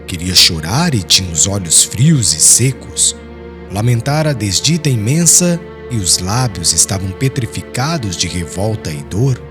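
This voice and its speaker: A man with a Brazilian accent reading dramatic, solemn audiobook narration, with music playing behind him. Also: mid-range voice. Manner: solemn, expressive.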